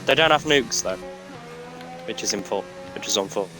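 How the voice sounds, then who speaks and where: A man's voice: on the low side, animated. A man commentating on an online racing league, chatting and teasing the drivers in casual banter. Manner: teasing, chatty, quick-witted. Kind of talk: casual banter over online race stream. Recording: music playing behind voice.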